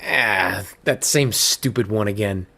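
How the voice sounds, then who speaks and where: A man's voice: lowish voice, slow pace, bright, forceful tone, no room sound, heavily acted. A man playing a bewildered husband in an audio comedy drama. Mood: weary. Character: Dave, bewildered husband plagued by spam calls.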